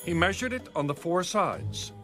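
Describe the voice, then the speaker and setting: A male audio Bible narrator with a somewhat high voice. He reads scripture dramatically from a script. Soft music sits behind him. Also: sing-song, normal speed.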